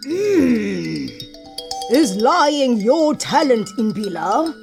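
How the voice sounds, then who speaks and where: A woman with a South African accent playing a stern, teasing god in children's audio theatre, lecturing deliberately with musing hums. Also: fairly high pitch, very animated, creaky, darkish tone, soft background music, full character voice. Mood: mocking. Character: stern, teasing, all-knowing god of the mountain.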